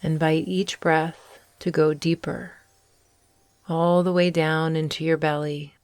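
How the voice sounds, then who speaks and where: Female meditation guide, soothing and unhurried, very slow delivery, lowish pitch, music bed underneath. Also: level tone, clean tone, dry recording. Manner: gentle, soothing, deliberate phrasing with long pauses.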